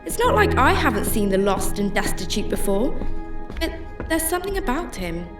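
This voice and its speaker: A woman's voice: a little high, very animated, normal speed, recorded from a distance. A woman playing a compassionate, troubled homeless shelter volunteer, narrating and speaking in a radio drama. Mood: reflective, concerned. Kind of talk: first-person radio drama narration and dialogue. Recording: roomy sound with music behind.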